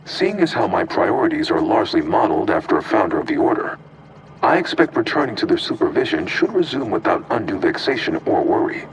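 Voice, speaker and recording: A man voicing a calm, formal male archive computer intelligence in a game, speaking precisely. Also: lowish voice, brisk, recorded from a distance, a crowd in the background, poor audio. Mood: measured.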